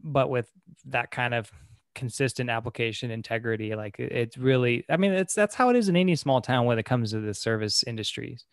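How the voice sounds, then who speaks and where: Clear-voiced American man talking shop, dry sound. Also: medium pitch, brisk, no room sound. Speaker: podcaster who works in tree service. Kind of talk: casual shop talk.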